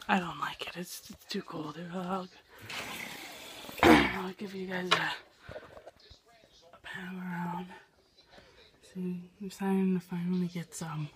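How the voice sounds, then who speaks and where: Young man vlogging, voice going hoarse. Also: high-pitched, noisy background. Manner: rambling, self-deprecating, trails into mumbles. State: losing his voice. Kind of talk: casual vlog talk to camera. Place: own bedroom.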